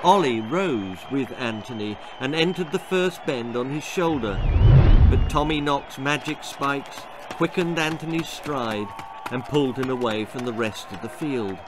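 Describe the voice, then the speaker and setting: An older man reading his children's story aloud in an expressive, dramatic narrating style. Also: mid-range voice, a little slow, noisy background. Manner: expressive, theatrical. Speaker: author reading own story. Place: small book-lined room indoors.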